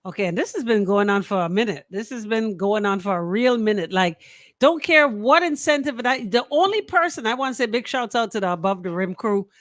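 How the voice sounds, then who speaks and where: A woman's voice: medium pitch, lively intonation, fairly quick, no echo, clean studio sound. A woman with a Bahamian accent hosting radio in a colloquial, emphatic banter style, repeating phrases for emphasis. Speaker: radio show host. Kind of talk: lively radio banter with sponsor shout-outs.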